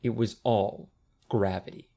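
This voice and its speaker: A man narrating a comic fantasy audiobook in a lively, scripted storytelling style. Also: fairly low pitch, a little slow, vocal fry.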